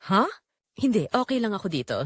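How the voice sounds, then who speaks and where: A woman's voice: mid-range voice, sing-song, fairly quick, husky, no room sound, studio quality. A woman with a Filipino accent voicing a shy, hesitant working man in an audio romance story, reserved and awkward. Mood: flustered, hesitant.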